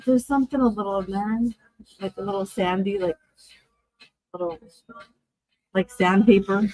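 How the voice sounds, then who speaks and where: A woman's voice: mid-range voice, moderate pace, dark tone, no echo, low-quality recording. A woman co-hosting a casual livestream podcast, teasing and giggly. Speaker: podcast co-host.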